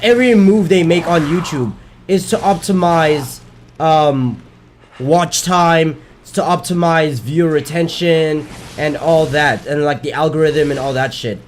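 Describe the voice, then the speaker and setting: A man streams a game and chats at the same time, his speech a little higher than most men's. His voice is worn from daily streaming. He rambles, doubles back on his words and swears casually between moves. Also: measured pace, slight background noise. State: losing his voice. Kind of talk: casual chat while gaming.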